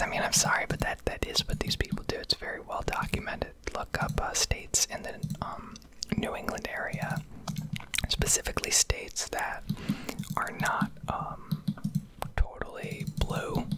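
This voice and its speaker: A young woman whispers throughout in a breathy, unvoiced ASMR style, close to the mic. She rambles through an opinionated rant over gameplay, swearing freely and pausing to find her words. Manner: profane, opinionated, hesitant pauses. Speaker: ASMR gaming streamer.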